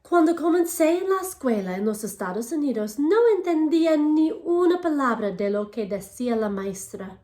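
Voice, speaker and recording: Bright-voiced teacher reading aloud. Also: female voice, high voice, a little fast, hardly any pauses. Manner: expressive storytelling, asks children questions; alternates English and Spanish readings. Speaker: elementary school teacher. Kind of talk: bilingual picture-book read-aloud. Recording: echoey room.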